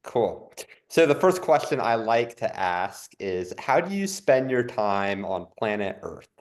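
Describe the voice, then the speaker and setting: A man hosting a podcast interview, upbeat and quick to chime in with short affirmations. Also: fairly low pitch, big pitch swings. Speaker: podcast host.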